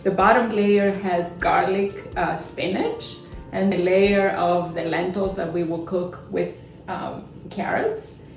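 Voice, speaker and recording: Woman cooking in her kitchen, kinda low voice, roomy and far off mic. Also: steady tone, slow pace, creaky. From Crete, Greece. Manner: explains steps plainly, small slips in grammar. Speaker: chef and company founder. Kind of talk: cooking demonstration. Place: home kitchen. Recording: echoey kitchen, far from mic, faint music.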